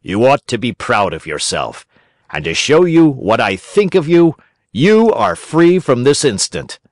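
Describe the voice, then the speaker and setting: A man's voice: mid-range voice, sing-song, unhurried, dry recording, studio quality, voice pushed up. A man doing a hearty, self-satisfied farmer. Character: grown male farmer, gruff but pleased and kindly.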